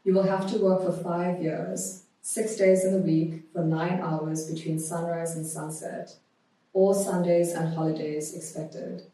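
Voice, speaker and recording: Woman in a very echoey room, mellow voice. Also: fairly low pitch, little pitch movement, measured pace. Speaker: podcast guest. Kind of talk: conversational interview, reading a historical notice aloud. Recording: very echoey room, distant microphone.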